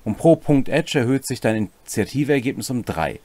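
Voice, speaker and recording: A man's voice: medium pitch, very animated, brisk, darkish tone, no room sound. A middle-aged man co-hosting a semi-scripted explainer of tabletop game rules, with casual asides, in a room at home. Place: small home room, indoors.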